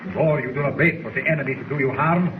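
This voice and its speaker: An actor playing a cold, smug foreign spy leader with a German accent, menacing and mock-courteous, in 1940s radio drama style. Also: male voice, medium pitch, fast, lightly acted. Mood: coolly gloating. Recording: scratchy tinny 1940s radio recording, heavy noise.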